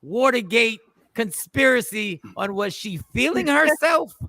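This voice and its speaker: A man's voice: very high voice, expressive, a little slow, gravelly, sharp tone, dry recording. A man hosting a morning talk show, teasing his co-hosts with mock courtroom questions. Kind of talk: casual radio show banter.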